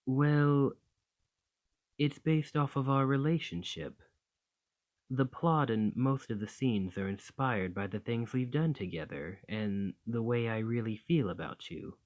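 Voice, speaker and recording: A man voicing a gentle, bashful dragon in a romantic ASMR roleplay, tender and hesitant, doting on a partner. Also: mid-range voice, a little slow, dull audio, close to an everyday voice. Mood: flustered, embarrassed. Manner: flustered, hesitant, tender, with sighs and stammers.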